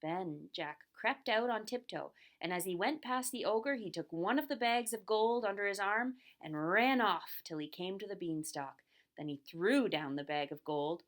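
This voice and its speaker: A woman's voice: lowish voice, a bit bright. A woman narrating a scripted children's story as the storyteller of a puppet show.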